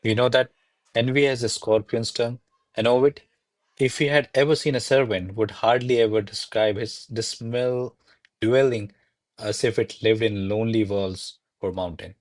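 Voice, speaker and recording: A man's voice: medium pitch, fairly even intonation, normal speed, dry recording, natural voice. A man playing a courtly, ardent servant in a classical radio play, speaking in a formal, florid, deferential manner. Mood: deferential, defensive. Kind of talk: stage-style radio play acting of classical verse.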